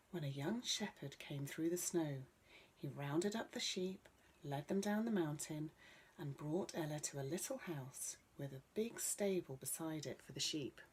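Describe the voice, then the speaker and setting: Low-pitched, slightly husky woman storyteller. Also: darkish tone. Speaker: children's storyteller. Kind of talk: bedtime story narration.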